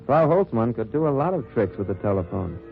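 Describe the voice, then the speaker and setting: A man playing a cool, watchful undercover agent who narrates his wartime mission in measured, wry radio drama style. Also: mid-range voice, big pitch swings, creaky. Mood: suspenseful, watchful. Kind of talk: 1940s-50s radio drama acting, first-person narration. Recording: muffled old-time radio recording with music.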